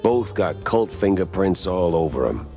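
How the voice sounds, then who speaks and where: A man playing a gruff, hardboiled mouse detective in a noir video game, world-weary, stubborn and dry in his tough talk. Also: sits low, sing-song, unhurried, dark tone, exaggerated voice. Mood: brooding. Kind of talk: noir game narration and dialogue. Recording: music behind the voice. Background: prominent background music.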